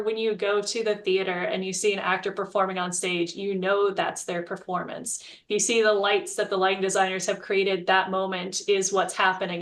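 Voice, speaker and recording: A woman's voice: medium pitch, a bit bright. A woman speaking as a panelist in a reflective discussion, explaining her thinking in a thoughtful, conversational way. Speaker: panelist, playwright and screenwriter.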